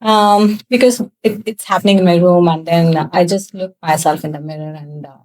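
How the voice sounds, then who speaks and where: A woman in her thirties from South India, speaking with a fairly low voice. She tells her story frankly, stopping often on fillers. The sound is close and dry. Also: fairly even intonation, a little mellow, no echo. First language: Tamil. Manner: candid, laughs often, pauses with many fillers. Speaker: guest, cosmetics founder. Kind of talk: personal storytelling in a podcast interview.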